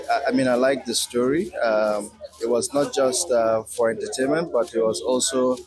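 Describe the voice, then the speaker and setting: Halting man giving feedback over music. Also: medium pitch, a little mellow, poor audio. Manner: hesitant, halting, repeats words. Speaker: audience member giving feedback. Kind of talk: impromptu spoken testimonial. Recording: music behind the voice.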